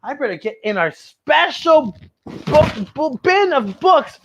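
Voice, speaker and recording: A woman hosting a children's story time, excitable and playful, with exaggerated expressive storytelling. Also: high voice, slow, lo-fi sound, background noise.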